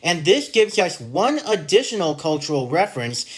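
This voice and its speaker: Canadian man reading a measured review. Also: on the high side, big pitch swings. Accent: general North American. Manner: measured, list-like, reading out notes. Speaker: podcast host and episode reviewer. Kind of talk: scripted review narration.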